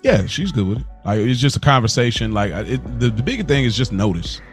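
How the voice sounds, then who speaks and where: A man's voice: medium pitch, expressive, fast talker. A man co-hosting a podcast in casual, slangy chat, repeating words emphatically to make his point. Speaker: podcast co-host. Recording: music playing behind the voice. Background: background music.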